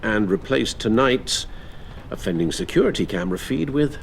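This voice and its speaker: An actor playing a concerned, weary, formal older butler in a dramatic video game. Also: male voice, medium pitch, expressive, vocal fry, slight background noise. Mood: dutiful. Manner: formal, measured, caring.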